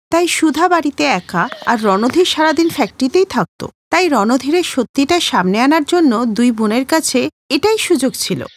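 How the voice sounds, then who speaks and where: A woman narrating a scripted moral story for a cartoon, as a storyteller. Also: sits high.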